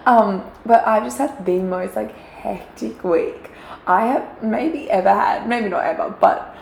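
A young woman with a croaky, gravelly voice talks chattily, filling her sentences with little fillers and giggles. The room around her is echoey, with a faint hiss of background noise. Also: mid-range voice, measured pace. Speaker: podcast co-host. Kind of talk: casual chat. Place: quiet room, shared mic.

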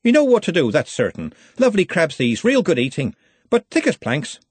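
A man's voice: on the high side, huge pitch swings, a little fast, breathy edge, dry recording, pitched above the performer's own voice, exaggerated voice. A man voicing a cheerful, motherly woman who sells seafood, in an audiobook. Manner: chirpy, chatty hawking. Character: cheerful self-made market fishwife, brisk and motherly.

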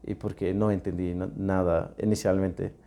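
A man from England, a native English speaker with an English accent, co-hosting a podcast in casual chat and speaking halting learner's Spanish with English words slipped in. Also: low-pitched, flat delivery, mellow. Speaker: podcast co-host.

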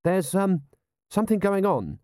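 A man voicing a nervous, hesitant young army lieutenant with halting speech in an audiobook. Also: mid-range voice, big pitch swings, dark tone, dry recording, clean studio sound, natural voice. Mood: flustered. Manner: halting, stammering.

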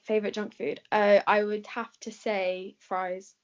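A woman's voice: medium pitch, level tone, a little slow. A woman with an English accent answering questions in a casual chat, talking with plenty of fillers and laughing easily. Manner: chatty, laughs often, many fillers. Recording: dull lo-fi recording.

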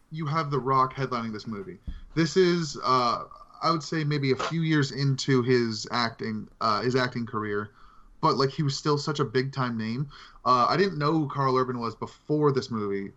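A man's voice: mid-range voice, moderate pace, sharp tone. A man co-hosting a casual film discussion among friends, talking in an informal, conversational way. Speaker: podcast co-host. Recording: slightly dull lo-fi mic.

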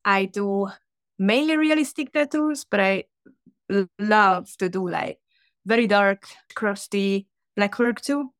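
A woman in her thirties with a Hungarian accent tells her story in a loose, chatty way, full of fillers and quick laughs. Her voice sits at a middle pitch. The sound is close and dry. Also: clear, resonant tone, no room sound. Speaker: podcast guest, tattoo artist. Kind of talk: casual storytelling.

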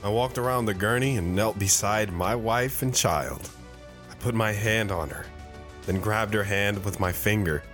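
Male actor as reflective wandering dad, low voice, earnest, sentimental audio drama storyteller, music underneath. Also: normal speed, a bit bright, natural voice. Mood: reflective, tender. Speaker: narrator.

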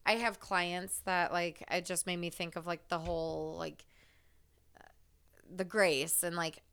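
Low, smooth-voiced woman chatting candidly. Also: little pitch movement, a little slow, a bit bright. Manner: candid, rambling, swears freely. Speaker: podcast co-host, hairstylist. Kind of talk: casual podcast chat.

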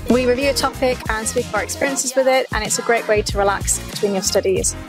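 A young woman co-hosting a casual chat podcast, soft-spoken and gentle. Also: a little high, fast, over music. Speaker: podcast co-host.

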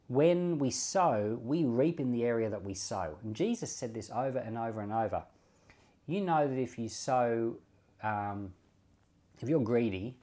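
A man's voice: mid-range voice, darkish tone. A man giving informal Bible teaching as a pastor, reasoning aloud with rhetorical questions and repeating key points for emphasis. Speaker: pastor and Bible podcast host.

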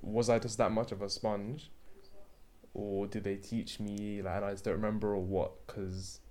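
A man's voice: lowish voice, level tone, normal speed, darkish tone. A young man, a podcast guest chatting in a laid-back, slangy way. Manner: laid-back slangy chat, says "bro" and "innit". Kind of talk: casual chat.

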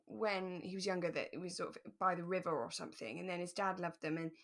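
A woman's voice: lowish voice, fast, creaky. A woman co-hosting a podcast, chatting casually and playfully about a radio drama. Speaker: podcast co-host.

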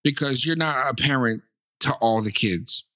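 A man's voice: medium pitch, moderate pace, pushed and bright. A man with a lisp and a stutter, chatting casually and emphatically on a podcast, full of "you know" fillers.

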